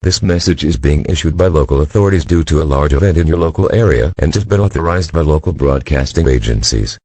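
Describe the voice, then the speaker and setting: Very deep, husky announcer played by a man. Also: darkish tone, dry recording, full character voice. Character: impersonal male emergency broadcast announcer. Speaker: emergency alert announcer. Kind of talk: scripted public alert announcement.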